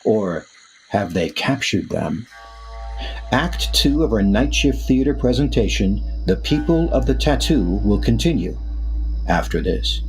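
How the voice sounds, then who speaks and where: A man playing an announcer for an old-time radio drama, narrating in a husky, portentous manner. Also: fairly low pitch, big pitch swings, measured pace, darkish tone, soft background music, poor audio. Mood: suspenseful. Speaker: announcer of a radio theater show.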